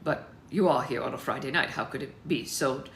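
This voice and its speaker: A woman's voice: rumbling low, fairly quick, fairly bright tone, voice pushed down. A woman voicing a stuffy middle-aged father, formal, sceptical and bluntly questioning, in a storybook reading. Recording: roomy echo, background noise.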